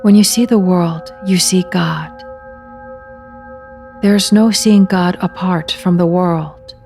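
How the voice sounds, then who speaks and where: A woman leading a guided meditation, speaking in measured, deliberate phrases with soft endings and pauses. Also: on the low side, steady tone, vocal fry. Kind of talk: guided meditation, slow spoken readings with long pauses. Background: meditation music at beginning and end.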